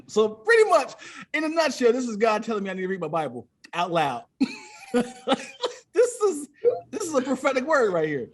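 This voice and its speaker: A man's voice: very high voice, huge pitch swings, moderate pace, long pauses. A man chatting casually as a comedian guest on a podcast, playful and joking, using plenty of slang.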